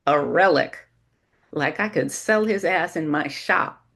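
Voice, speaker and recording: A woman's voice: low voice, takes it slowly, vocal fry, a bit bright, exaggerated voice. A woman voicing a chatty, blunt older mother who monologues over the phone in an audiobook-style story reading. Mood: brassy, scornful. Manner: brash, monologuing, interrupting.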